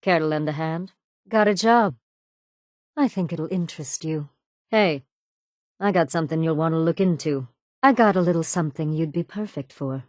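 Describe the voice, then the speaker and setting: A woman's voice: deep voice, moderate pace, pauses often, darkish tone, no echo, studio quality, lightly acted. A woman voicing a ruthless raider gang leader in a video game, sardonic, commanding and menacing. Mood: cool, businesslike. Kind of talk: video game character dialogue.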